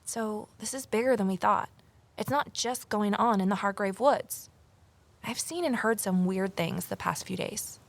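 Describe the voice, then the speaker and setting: An actress playing an inquisitive amateur sleuth woman in an audio drama, expressive and pointed in her delivery. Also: mid-range voice, measured pace, vocal fry, no room sound. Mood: curious, suspicious. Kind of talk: full-cast audio drama acting, narration and dialogue.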